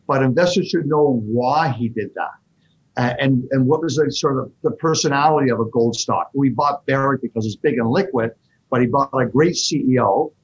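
A man answers interview questions about investing, speaking in fast, animated bursts. His voice is husky. The audio sounds dull and lo-fi, like a remote connection. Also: mid-range voice. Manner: fast, animated explaining with fillers. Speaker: fund manager guest.

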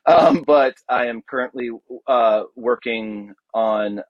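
A man's voice: mid-range voice, takes it slowly, dry recording, highs cut off. A man speaking casually as an interview guest, an enthusiastic storyteller who often stops and restarts his sentences. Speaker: podcast guest, audio drama producer.

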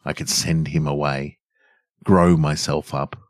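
A middle-aged man hosting a podcast and reading stories in a measured way. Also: rumbling low, flat delivery, no room sound, clean studio sound. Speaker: podcast host and story reader. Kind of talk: scripted story narration and casual podcast outro.

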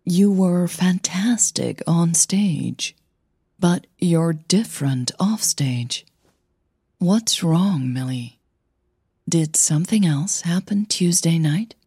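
A woman voicing a composed, caring singing coach in an audio drama, reassuring and gently teasing as she probes. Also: on the low side, expressive, slow, husky, no room sound, clean studio sound, full character voice. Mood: caring, concerned.

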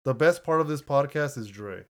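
A man with a deep voice co-hosts a relaxed podcast from home. He reads listener reviews aloud in an easygoing way, often laughing and restarting his words. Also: moderate pace. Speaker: podcast co-host. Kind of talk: casual chat reading listener reviews. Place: home room, recording remotely.

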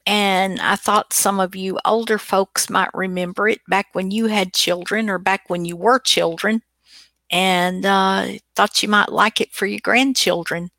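A woman's voice: mid-range voice, fairly even intonation, unhurried, sharp tone, dry recording, studio quality. A woman, hosting and casually chatting as she introduces old radio dramas. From United States. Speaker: host.

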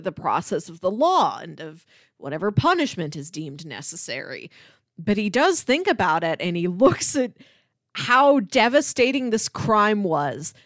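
A woman talks casually as a podcast co-host discussing film. She slips into imagined quotes to act out her retellings. Her mid-pitched voice is recorded dry and close, with very little room sound. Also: no echo.

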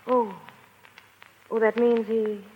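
A woman's voice: fairly high pitch, level tone, takes it slowly, narrow, tinny audio. An actress playing a guarded, worldly cabaret woman in a 1930s radio drama, formal and brief in her replies. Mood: guarded, hesitant. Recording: vintage radio, hall reverb, faint noise.

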